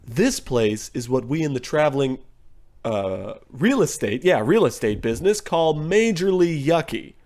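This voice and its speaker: A man's voice: on the high side, very animated, measured pace, a little mellow. A man playing a slick, condescending door-to-door salesman in an old-time radio comedy. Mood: slick, insulting. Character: smooth-talking, pushy, condescending conman door-to-door salesman.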